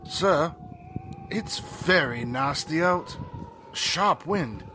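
An actor playing a polite, solicitous manservant in an old-time radio drama, speaking formally and hesitantly. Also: male voice, on the high side, animated, drawn-out words, background noise. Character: deferential, solicitous manservant to a restless master.

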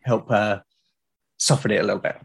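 A man's voice: lowish voice, fairly even intonation, fairly quick, gravelly, breathy edge. Middle-aged southern English man, hesitant and reflective. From South London, UK. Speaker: interview guest, artist. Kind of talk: casual conversational storytelling.

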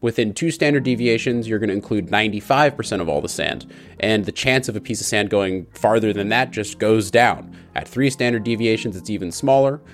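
A man's voice: on the low side, a little fast, soft background music. A man hosting a podcast, narrating and explaining ideas plainly with everyday examples. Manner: plain, conversational explainer using everyday images. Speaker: podcast host.

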